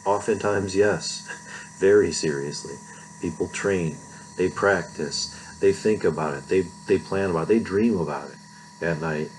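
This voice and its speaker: A man's voice: low voice, measured pace, slight background noise, low-quality recording. A middle-aged man giving an informal recorded philosophy lecture in a conversational way, often checking in with "right?".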